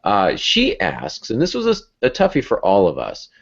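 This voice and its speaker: A man co-hosting a podcast in a casual discussion, pausing to think as he talks. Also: fairly low pitch, huge pitch swings, vocal fry, fairly bright tone, dull audio. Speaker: podcast co-host.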